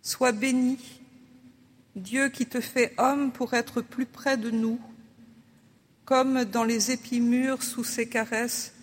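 A prayer leader reading a prayer aloud during worship in a church, in a slow, solemn and measured way. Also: mid-range voice, little pitch movement, breathy edge, big-room sound.

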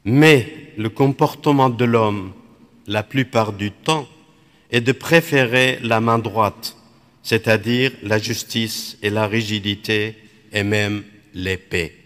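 Slow, reflective priest in a hall. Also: male voice, lowish voice, fairly bright tone. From Iraq. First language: Aramaic. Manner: measured, contemplative, phrase by phrase. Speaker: Dominican priest. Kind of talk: spiritual reflection.

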